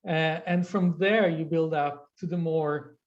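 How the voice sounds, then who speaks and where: A woman's voice: deep voice, steady tone, a little slow, darkish tone, lo-fi sound. A woman from Israel whose first language is Hebrew, explaining things as an interview guest with frequent restarts, speaking over a video call. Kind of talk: conversational interview.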